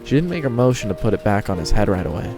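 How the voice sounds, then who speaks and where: A man serves as narrator for a piece of fan fiction. His voice sits fairly low as he reads the scripted prose, and light music plays behind him. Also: big pitch swings, very fast. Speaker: story narrator.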